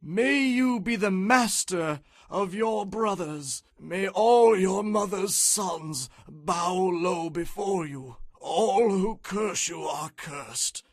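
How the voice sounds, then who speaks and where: A man's voice: high-pitched, lively intonation, slow, few pauses, no room sound, clean studio sound, voice pushed up, exaggerated voice. A man doing a frail, quavering old father. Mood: solemn. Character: aged blind father, frail and solemn.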